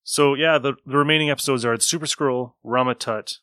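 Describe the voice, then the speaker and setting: Bright-voiced man hosting casual podcast. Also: mid-range voice, fairly even intonation, a little fast, clean tone, dry recording, clean studio sound. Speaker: podcast host. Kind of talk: casual episode review chat.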